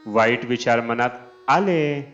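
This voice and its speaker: A man reading a scripted spiritual discourse in a measured, deliberate manner. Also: mid-range voice, fairly bright tone. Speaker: reader of devotional discourses. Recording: dull lo-fi, Indian classical music behind. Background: Indian classical instrumental music.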